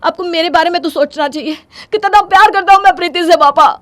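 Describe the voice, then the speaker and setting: A proud, distraught young husband, acted by a woman, speaks with melodramatic emphasis. The voice rides high in a dry story recording. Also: unhurried, gravelly, a little mellow, no echo. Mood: desperate pleading.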